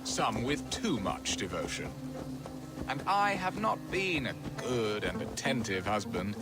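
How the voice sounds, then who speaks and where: An actor playing a self-pitying, romantic Saxon lord in a video game, with a theatrical, wistful and dithering manner. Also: male voice, medium pitch, big pitch swings, measured pace, loud music behind the voice. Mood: lovelorn, self-pitying. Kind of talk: video game drama acting.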